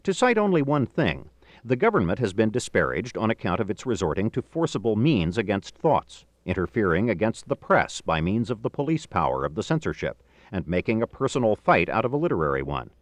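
A middle-aged man reading a philosophical book aloud in a formal, measured, emphatic way, with deliberate phrasing. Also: lowish voice, big pitch swings, dry recording. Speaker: audiobook narrator.

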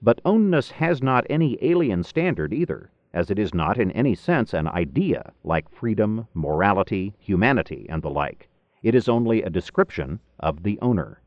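Low-voiced man reading, swooping intonation. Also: sing-song, no echo. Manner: deliberate, rhetorical, formal delivery with emphatic phrasing. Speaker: audiobook narrator. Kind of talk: scripted narration of a philosophy book. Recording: dull lo-fi cassette tape recording.

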